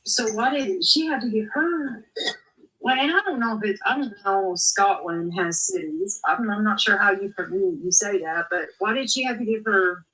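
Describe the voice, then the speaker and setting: A woman's voice: medium pitch, animated, moderate pace, bright, forceful tone, recorded from a distance, poor audio. A woman giving frank opinions and reading viewer comments in a casual chat.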